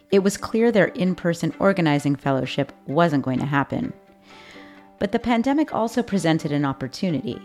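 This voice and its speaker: A woman hosting a podcast, reading a scripted introduction and interviewing guests in a measured, clear way. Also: deep voice, darkish tone. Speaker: podcast host.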